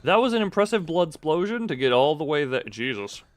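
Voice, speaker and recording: A man's voice: on the high side, big pitch swings, a little fast, no room sound. A man giving casual live video game commentary, thinking aloud in a rambling, self-interrupting way with playful, self-deprecating wordplay.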